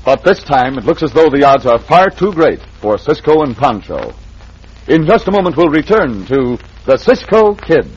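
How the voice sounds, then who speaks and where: A male announcer narrates an old radio serial dramatically. His mid-pitched voice swings widely over faint organ music on a muffled recording. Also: sing-song, normal speed.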